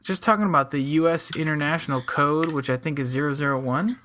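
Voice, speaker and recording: Man, podcast co-host, bright crisp voice, upbeat casual delivery. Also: a little high, quick pace, slight background noise. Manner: upbeat, reads out details. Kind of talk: casual banter.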